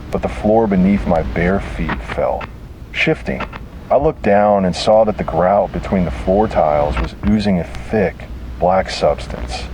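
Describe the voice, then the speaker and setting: A man delivering scripted first-person horror narration in a grave, dramatic manner with deliberate pauses. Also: deep voice, background noise.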